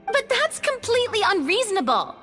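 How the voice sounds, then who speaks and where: A woman's voice: extremely high pitch, big pitch swings, brisk, a bit bright, soft background music, exaggerated voice. A woman playing a young, cautious game adventurer with an earnest, questioning manner. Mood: taken aback. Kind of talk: video game voice acting.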